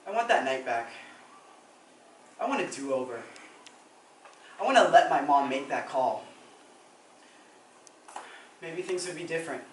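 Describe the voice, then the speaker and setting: A man's voice: a little high, expressive, measured pace, pauses often. A regretful teenage character, played by a young man confesses in a subdued, withdrawn way. It is a dramatic stage monologue, recorded from afar in a roomy space with faint noise. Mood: anguished, regretful. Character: regretful, withdrawn teenager haunted by missing a funeral. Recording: echoey room, distant mic, noisy.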